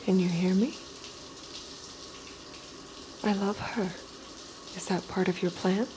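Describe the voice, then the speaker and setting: A woman playing a compliant young girlfriend in an audio drama, soft-spoken and guarded, sweet on the surface and doubting underneath. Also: medium pitch, steady tone, moderate pace, background noise, natural voice. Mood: inward, anxious. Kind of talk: naturalistic audio drama acting.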